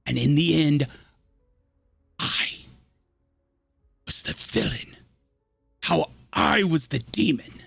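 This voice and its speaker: A man playing a bitter, theatrical villain in a tabletop roleplay, delivering grandiose, deliberate, impassioned speeches. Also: on the high side, gravelly, a bit bright, slightly rough, no room sound, heavily acted. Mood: bitter, grieving. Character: bitter, theatrical villain justifying his fall from hero. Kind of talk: dramatic in-character monologue in a tabletop roleplay.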